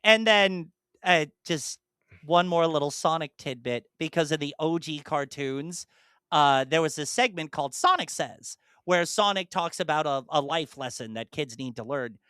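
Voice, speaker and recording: A podcast host chatting casually in an animated, rambling way, slipping into imagined dialogue. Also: mid-range voice, moderate pace, smooth, no echo, studio quality. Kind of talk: casual chat, enthusiastic monologue about films.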